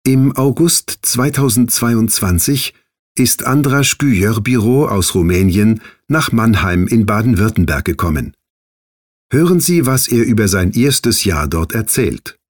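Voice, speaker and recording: A man's voice: on the low side, animated, measured pace, no room sound, clean studio sound. Male narrator giving formal instructions. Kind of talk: scripted narration and exercise instructions.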